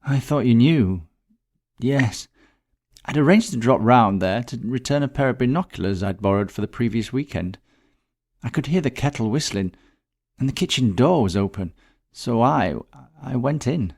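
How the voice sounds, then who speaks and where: A man's voice: medium pitch, creaky, voice pushed up. A man voicing a distressed, bitter woman in a mystery story, talking in a dramatic, gossipy, emphatic way. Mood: shaken, miserable. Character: distressed, bitter middle-class woman recounting a friend's death.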